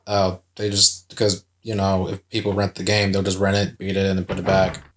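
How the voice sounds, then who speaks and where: A man's voice: low voice, monotone, fairly quick, slight background noise. A young man co-hosting a casual video game playthrough, rambling and swearing often, with lots of filler words. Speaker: co-host playing a video game.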